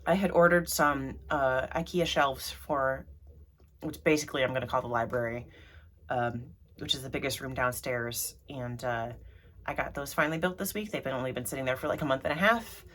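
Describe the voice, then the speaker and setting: A woman's voice: extremely low pitch, moderate pace, fairly bright tone, slight background noise. A woman vlogging in a rambling, casual way, sounding throaty and congested from allergies, with lots of ums. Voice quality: throaty, froggy. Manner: rambly, many ums and restarts.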